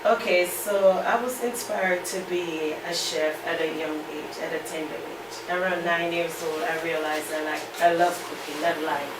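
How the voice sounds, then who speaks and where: A woman's voice: on the low side, steady tone, normal speed, few pauses. A middle-aged woman telling personal stories as an interview guest, emphatic and repeating key phrases for weight. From Kingston, Jamaica. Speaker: interview guest, aspiring chef. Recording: echoey, distant mic with background noise.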